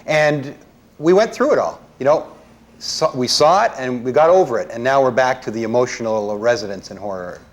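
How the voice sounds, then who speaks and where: A man's voice: mid-range voice, animated, moderate pace, dull audio. A man talking as a guest panelist in a conversational film discussion, explaining his points.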